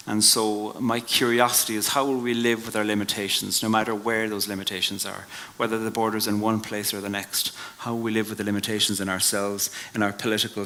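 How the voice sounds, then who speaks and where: An Irish man giving a reflective live talk and reading his poems, in a measured way that drops to a hush at the ends of lines. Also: lowish voice. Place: live venue before an audience.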